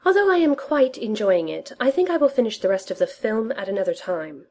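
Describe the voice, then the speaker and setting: A woman's voice: a little high, very animated, a little fast, warm tone, voice pushed up. A woman voicing a formal, proud prince. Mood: polite formal. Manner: formal and clipped. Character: formal, proud male prince, dryly amused.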